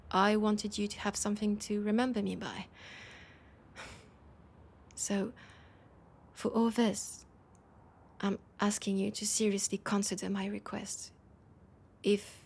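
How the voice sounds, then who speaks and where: A woman playing a proud but desperate vampire leader in an intimate audio drama, with a French accent and a halting, breathy delivery that slips toward whispers. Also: mid-range voice. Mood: intense, solemn. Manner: hesitant, halting, breathy asides dropping into whispers.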